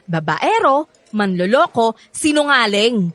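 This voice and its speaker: Brash, bitter Filipino older sister, high and animated, blunt, theatrical radio drama. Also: female voice, measured pace, dry recording. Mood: indignant, accusing. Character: bitter, brash, overprotective older sister, confrontational young woman.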